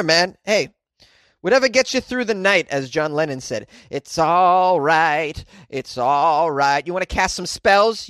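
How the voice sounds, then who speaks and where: Male comedy news host, goofy and slangy, very animated delivery, dry sound. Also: sits high, no echo. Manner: goofy, excitable, jokey, slangy asides. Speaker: host commenting on odd news stories. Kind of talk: casual comedic news commentary, reading aloud and riffing.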